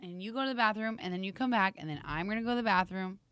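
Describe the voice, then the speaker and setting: A raspy-voiced woman with a Midwestern American accent, co-hosting a casual morning show over a video call and teasing her partner. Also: medium pitch, racing. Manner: teasing, chatty, animated with guests. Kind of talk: casual chat.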